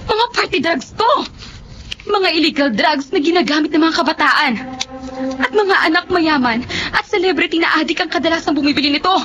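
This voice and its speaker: A woman's voice: very high voice, expressive, fairly quick, bright, forceful tone, slight background noise, exaggerated voice. An actress playing an upset young woman with firm morals in a radio drama, accusing and emotional. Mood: angry, accusing. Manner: reproachful, emotional delivery. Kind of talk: Tagalog radio drama acting. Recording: dull, lo-fi radio audio.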